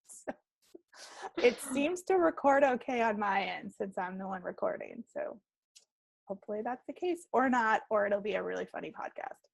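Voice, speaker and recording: A woman's voice: medium pitch, expressive, normal speed, gravelly, pauses often, dry recording, low-quality recording. A woman co-hosting a casual podcast from home, chatty and playful in her delivery. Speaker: podcast co-host. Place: at home, recording an online call.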